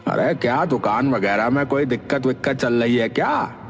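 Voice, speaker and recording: A man's voice: medium pitch, expressive, normal speed, close to an everyday voice. A man playing a chatty older village headman in a cartoon story, rustic, familiar and nosy. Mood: folksy, emphatic. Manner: rustic, familiar, inquisitive, emphatic. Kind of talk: Hindi cartoon story acting. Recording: reverberant hall sound with faint music.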